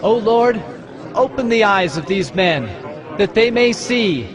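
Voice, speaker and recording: A man's voice: sits high, expressive, measured pace, dull audio, a crowd in the background. A man playing a commanding, fervent prophet with solemn, declamatory delivery in a dramatized Bible reading. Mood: fervent prayer. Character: commanding, fervent holy prophet of ancient Israel. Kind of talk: dramatized Bible audio acting.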